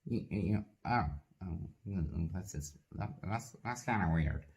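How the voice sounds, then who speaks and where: A performer voicing a nosy, clumsy sock puppet host with a lively, bouncing delivery. It pries with awkward, stammering questions and trails off into nervous fillers when things go wrong. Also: low voice, unhurried. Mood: uneasy. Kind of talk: comedy puppet sketch.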